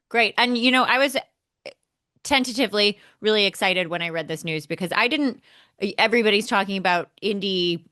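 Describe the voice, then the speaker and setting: A woman with a fairly low voice leads a conversational show as co-host. She is brisk and opinionated, moving the talk along, and is recorded dry with little room sound. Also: pushed and bright, clear, resonant tone, dry recording. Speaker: co-host leading the discussion. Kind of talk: casual conversational discussion.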